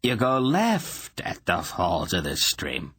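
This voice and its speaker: A stubbled, quarrelsome miner , put on by a male narrator, pitched higher than the narrator's own voice and sneering at every turn. The voice stays husky and dry. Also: huge pitch swings, takes it slowly, a bit bright, no room sound, heavily acted. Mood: belligerent. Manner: contrary and sneering.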